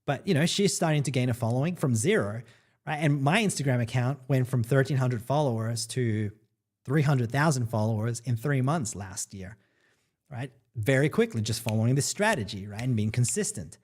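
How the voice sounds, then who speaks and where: An upbeat middle-aged man from El Salvador talks straight to his livestream viewers as a marketing coach. His voice sits at an ordinary middle pitch, and he keeps the chat loose with "right?" and "guys". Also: lively intonation, moderate pace, a little mellow. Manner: upbeat and chatty, peppering lines with "right?" and "guys". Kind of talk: casual livestream talk to viewers.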